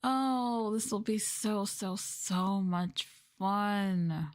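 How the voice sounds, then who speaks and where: A woman streamer doing a sadistic ASMR-style roleplay, talking down to her listeners in a teasing, mocking way with playful drawn-out emphasis. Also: mid-range voice. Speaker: VTuber streamer, host.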